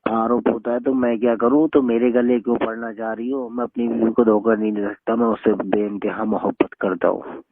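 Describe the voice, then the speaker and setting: A man's voice: medium pitch, flat delivery, a little fast, slight background noise. A young man, arguing bluntly and scoldingly in a phone conversation. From northern India. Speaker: phone call recipient. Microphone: telephone. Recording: tinny phone-line call recording.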